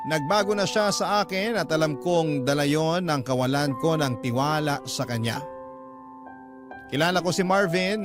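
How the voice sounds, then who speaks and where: Male narrator, radio-style story reading, calm and measured, slightly high voice, clear background music. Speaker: storyteller reading a listener's letter. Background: instrumental music.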